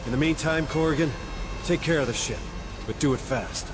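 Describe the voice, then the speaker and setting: An actor playing a hard-bitten military sergeant in a video game cutscene, talking in a gruff, confrontational, commanding way. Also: male voice, on the high side, fairly quick, close to an everyday voice. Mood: tough, commanding. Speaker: sergeant in charge. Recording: game audio with ambient noise.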